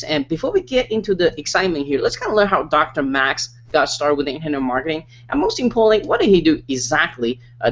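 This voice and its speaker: Brief: male interview host, slightly high voice, pitchy sales hype, lo-fi call audio. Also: a bit bright, slight background noise. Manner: hyped, salesy, many repetitions and fillers. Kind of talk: online interview.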